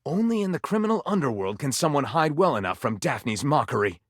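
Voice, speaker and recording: A man's voice: on the high side, sing-song, brisk, no room sound, clean studio sound. A man playing a theatrical, tongue-in-cheek narrator of pulpy detective tales in a video game, arch and savoring every dramatic turn.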